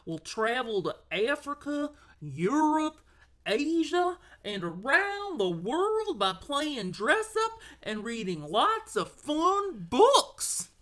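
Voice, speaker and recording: Lady reading a kids picture book out loud, slow n very expressive, high voice. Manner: animated, theatrical storytelling with drawn-out words. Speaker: storyteller, show host. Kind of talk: children's picture book read-aloud.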